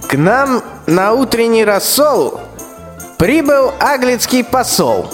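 A woman's voice: fairly high pitch, sing-song, slow pace. A young woman playing a scrawny, spiteful, bossy tsar in a rhymed fairy-tale radio play, speaking in an imperious, theatrical way. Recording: reverberant hall with music.

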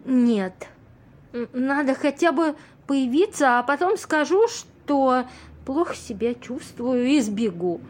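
A woman's voice: high voice, animated, slow pace, a little mellow. An actress in an amateur audio play playing a naive, curious young woman, questioning and a little hesitant.

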